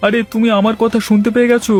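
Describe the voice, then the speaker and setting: A poor, idle village husband from a cartoon acted by a man, with a high-pitched voice. He grumbles, brags and protests in a whining manner. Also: level tone, racing, darkish tone, soft background music. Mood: indignant. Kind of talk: Bengali cartoon dialogue dubbing.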